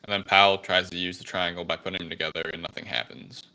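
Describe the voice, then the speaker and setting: A man's voice: low-pitched, steady tone, quick pace, gravelly, bright, forceful tone, low-quality recording. A man hosting a podcast, recapping a film in a casual, loosely worded, rambling way.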